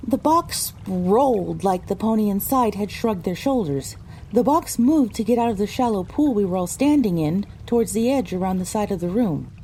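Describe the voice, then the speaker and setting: A woman playing a pony who narrates her own adventure in a measured, descriptive storytelling style. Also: medium pitch, lively intonation, mellow, a little hiss or hum, natural voice, rough recording. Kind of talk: scripted first-person story narration.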